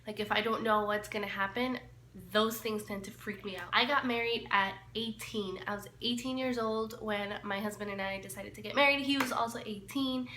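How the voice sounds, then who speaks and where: A woman's voice: mid-range voice, fairly even intonation, moderate pace, bright, forceful tone. A woman in her twenties, born in El Salvador, chatting casually to camera in a get-to-know-me vlog, often laughing and trailing off. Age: 20s. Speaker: lifestyle vlogger. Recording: echoey room, faint hiss.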